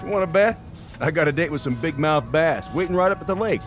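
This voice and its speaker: A man's voice: on the high side, animated, quick pace, faint music behind, lightly acted. A man playing a blunt, exasperated veteran factory foreman near pension age in a radio drama, plain-spoken and colloquial. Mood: grumbling, defiant. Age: older.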